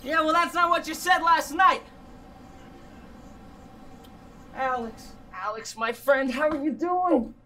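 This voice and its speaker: An actor with an American accent playing a defensive, swaggering brother with worry underneath, in a naturalistic drama. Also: male voice, extremely high pitch, expressive, a little slow, a bit bright, full character voice. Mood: showy, hearty. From Virginia, United States. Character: defensive adult brother putting on swaggering Italian airs, worried underneath. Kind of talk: naturalistic film dialogue.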